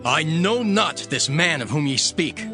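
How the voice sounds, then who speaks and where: A man's voice: a little high, lively intonation, measured pace, music in the background. A man playing a defensive, emphatic disciple in a dramatized scripture reading. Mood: vehement denial. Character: Peter, defensive disciple denying his master.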